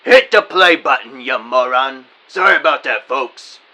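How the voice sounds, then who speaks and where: A man's voice: mid-range voice, lively intonation, a little slow, pushed and bright, heavily acted. A man voicing a bossy, irritable old-time wise guy, barking and scolding in a comic gangster skit. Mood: bossy, annoyed.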